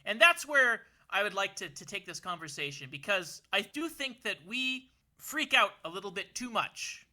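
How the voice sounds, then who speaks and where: A man's voice: high voice, sing-song, moderate pace, bright, forceful tone. A young man from Canada co-hosting a casual political discussion, talking earnestly with many restarts and repeated words, his voice worn as if losing it. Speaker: podcast co-host.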